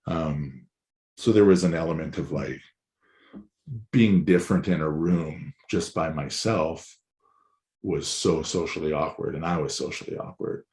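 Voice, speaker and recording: A man speaking as a musician guest in a casual interview, candid and rambling, his voice hoarse after a weekend of shows. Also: a bass voice, a little slow, a little mellow. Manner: rambling, candid, swears freely.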